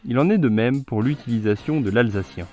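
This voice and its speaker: A young man narrating a news report in a steady, scripted voice-over. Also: medium pitch, fairly quick, faint music behind. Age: young adult. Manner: steady read delivery.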